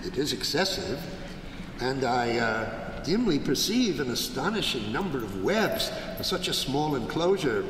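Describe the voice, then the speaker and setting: Brief: a mocking, vengeful nobleman narrator; swooping pitch, live reverberant hall. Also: very animated, a bit bright. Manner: slow, theatrical, mocking, swinging sharply in pitch. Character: cold, scheming older nobleman narrating revenge. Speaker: Montresor, narrator-murderer. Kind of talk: live stage radio drama. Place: large hall before a live audience. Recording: roomy long hall reverb, audience noise.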